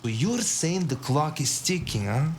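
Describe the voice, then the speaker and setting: A man playing a slow, menacing, desperate gunman. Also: on the high side, sing-song, slight background noise. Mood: cold, suspicious. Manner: threatening, deliberate. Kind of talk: dramatic audio drama dialogue.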